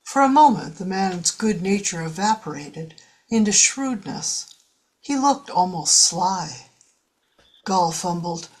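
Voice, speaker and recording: A woman with a husky voice reading scripted story narration for a radio drama. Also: mid-range voice, lively intonation, takes it slowly, rough recording.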